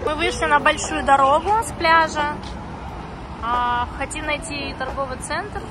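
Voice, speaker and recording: A woman vlogging on a trip, chatting lively as she walks around outdoors. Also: high voice, unhurried, background noise. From Kazakhstan. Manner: chatty, exclaiming, lively commentary while walking. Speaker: travel vlogger. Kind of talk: casual travel vlog chat. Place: outdoors in a city street. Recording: loud music and crowd behind.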